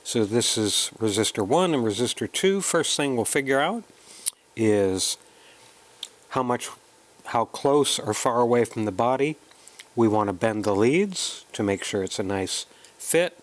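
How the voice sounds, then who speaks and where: A man narrating a hands-on tutorial in a casual, halting way, thinking aloud as he works. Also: medium pitch, lively intonation. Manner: unhurried, halting, thinking aloud while working. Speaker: electronics hobbyist presenting a kit build.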